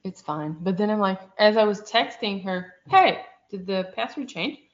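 A woman co-hosting a casual chat, talking in a rambling, lively way about her week as a church school teacher. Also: mid-range voice, moderate pace. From outside the United States. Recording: dull lo-fi sound.

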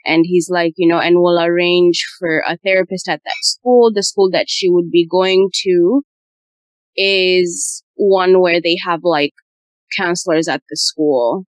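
A woman's voice: lowish voice, unhurried, no room sound, poor audio. A young woman in her twenties from Uganda, hosting a casual conversation and telling her story with animation. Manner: animated storyteller, mimics voices.

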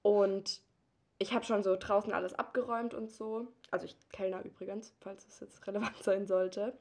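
A woman's voice: mid-range voice, steady tone, moderate pace, a little mellow. A young woman co-hosting a podcast, telling a work anecdote in casual chat and laughing often. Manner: laughs often, tells anecdotes with acted quotes. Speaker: podcast co-host.

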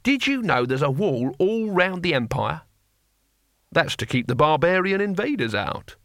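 High, cantankerous old barbarian. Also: male voice, big pitch swings, unhurried, a bit bright, no echo, clean studio sound, heavily acted. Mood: sarcastic ranting. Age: older. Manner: rough colloquial speech, sardonic and blunt. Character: scrawny old barbarian warrior, gruff, sarcastic, cackling. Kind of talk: audiobook character voice.

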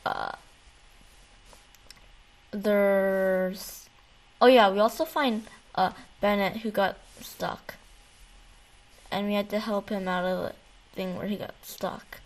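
A woman co-hosting a casual gaming podcast, chatting unscripted with halting, repeated words and casual swearing. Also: medium pitch, fairly even intonation. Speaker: podcast co-host.

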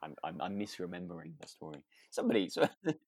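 A man co-hosting a relaxed, chatty podcast, talking in a good-humoured, hesitant way and often correcting himself. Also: deep voice, fast talker. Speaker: podcast co-host. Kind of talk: relaxed casual chat about a radio drama.

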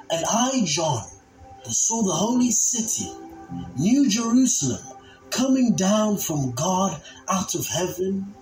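A preacher reading scripture and teaching in a solemn, emphatic way, with deliberate phrasing. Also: mid-range voice, a little mellow. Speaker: Bible teacher and narrator. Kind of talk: dramatized scripture reading and sermon. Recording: echoey voice over background music.

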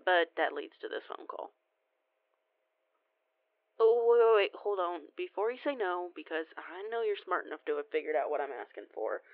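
A woman's voice: on the low side, no echo. A woman playing a cheeky, rambling young female werewolf cousin in an audio roleplay phone call, sheepish, folksy and a bit foul-mouthed. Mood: pleading. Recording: tinny band-limited phone line, very dry.

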